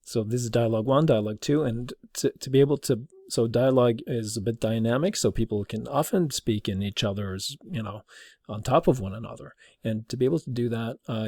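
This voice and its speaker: Guy doing a casual tutorial, soft mellow tone, kinda halting, close dry mic. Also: fairly low pitch, no echo. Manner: halting, explanatory. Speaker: teacher demonstrating audio production. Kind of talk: informal spoken tutorial.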